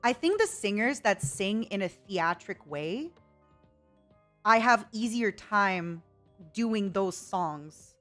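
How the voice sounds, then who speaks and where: A woman's voice: medium pitch, animated, takes it slowly, fairly bright tone, faint music behind. A young woman streaming a casual chat with viewers, rambling and reading out chat messages. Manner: chatty, rambling, reads chat aloud.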